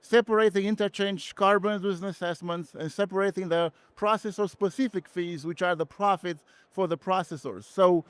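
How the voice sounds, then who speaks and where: Middle-aged Romanian-accented male guest, high clear voice, earnest and halting personal storytelling. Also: fairly even intonation, no room sound. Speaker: interview guest, company founder. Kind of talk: personal story in a podcast interview.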